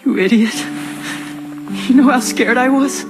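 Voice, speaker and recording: A man's voice: very high voice, a little slow. A man playing an impulsive, loyal teenage boy hero in a dramatic video game. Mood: relieved scolding. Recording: loud music over dialogue.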